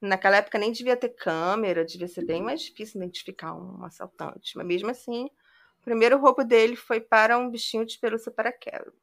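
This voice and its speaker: Bright-voiced woman telling a crime story. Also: on the high side, fairly quick, gravelly. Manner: conversational retelling with frequent "né". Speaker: podcast host.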